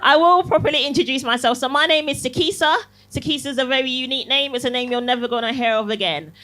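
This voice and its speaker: A woman's voice: high-pitched, fast, pushed and bright. A young woman from Barbados performing a cheeky stand-up set in a comedy club, teasing the crowd and laughing through her lines. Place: comedy club, live audience.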